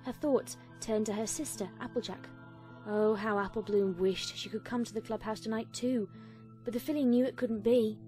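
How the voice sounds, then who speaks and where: A woman's voice: fairly high pitch, fairly even intonation, normal speed, mellow, soft background music. A woman reading a scripted story as a dramatic fan fiction narrator.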